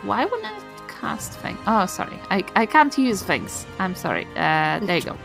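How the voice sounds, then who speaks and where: A woman's voice: medium pitch, huge pitch swings, normal speed, vocal fry, music in the background. A woman chatting casually as a player in a tabletop game, a little hesitant.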